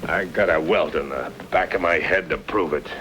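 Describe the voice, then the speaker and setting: An actor playing a vengeful, menacing outlaw with a surly, threatening manner in an old-time western radio drama. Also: male voice, sits low, moderate pace, fairly bright tone. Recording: noisy old radio recording.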